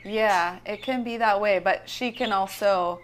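A woman's voice: mid-range voice, measured pace, a little hiss or hum, low-quality recording. A woman from California talking casually about her life and art in an interview, rambling with many fillers and restarts. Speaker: visionary artist guest. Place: her home.

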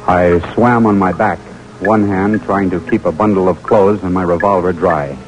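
An actor with an American accent playing an eager young lieutenant on a secret mission, in 1940s radio drama style, on an old recording. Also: male voice, sits low, fairly even intonation, normal speed, faint music behind, natural voice, poor audio. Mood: dramatic reminiscing. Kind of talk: 1940s radio drama acting and first-person narration.

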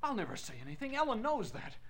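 A man's voice: very high voice, very animated, quick pace, a bit bright, heavily acted. An actor playing a desperate, lovesick young man on the run, pleading in 1940s radio melodrama style. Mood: frightened pleading. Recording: noisy vintage radio drama recording.

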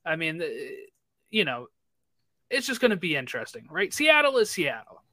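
Bright, forceful male podcast co-host, close and dry; casual soccer chat, runs through fixture lists briskly. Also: fairly high pitch, huge pitch swings, dry recording. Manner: rattles off fixture lists, chatty.

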